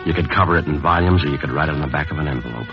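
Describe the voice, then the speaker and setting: Very deep, deadpan police sergeant. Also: male voice, rapid-fire. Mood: somber. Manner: deadpan, understated, terse. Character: stoic male police sergeant, grieving his longtime partner. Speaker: actor and narrator in a radio police drama. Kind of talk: 1950s radio acting, clipped scripted narration. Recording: muffled old radio broadcast, music behind.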